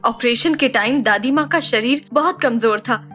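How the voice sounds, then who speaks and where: A woman's voice: on the high side, normal speed, pushed and bright, soft background music. A woman playing a young, composed and guarded doctor in an audio drama, speaking politely and reassuringly with English phrases mixed in. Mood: calm, guarded. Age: young adult. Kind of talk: Hindi audio drama acting.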